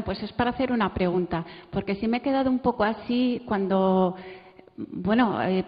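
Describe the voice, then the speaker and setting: A woman puts an informal question from the audience, feeling her way hesitantly. Her mid-pitched voice rings through the hall, slightly muffled. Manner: tentative, hesitant. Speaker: audience member asking a question. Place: conference hall, audience microphone. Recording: muffled, reverberant hall recording.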